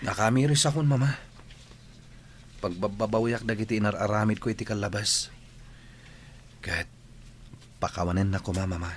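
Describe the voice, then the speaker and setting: A man's voice: lowish voice, fairly even intonation, moderate pace, pauses often, a little hiss or hum, lightly acted. A man with a Filipino accent playing an emotional, repentant young prisoner in an audio drama. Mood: remorseful.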